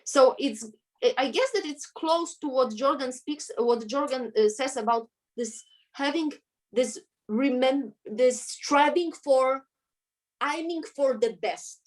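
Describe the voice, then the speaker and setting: A woman with a Polish accent speaks in a slightly high voice, earnest and thoughtful. She often pauses to search for the right word in her second language, restarting phrases as she works out an idea in a relaxed interview. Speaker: guest. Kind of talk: conversational interview.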